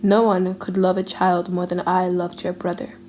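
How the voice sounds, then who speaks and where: A woman's voice: on the low side, little pitch movement, dark tone, slight background noise, voice pushed down, lightly acted. Woman voicing a solemn grieving father in an audiobook. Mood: solemn, pleading. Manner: solemn, measured, earnest. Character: grieving, gentle, reasoning father counseling his son. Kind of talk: dramatic audiobook reading.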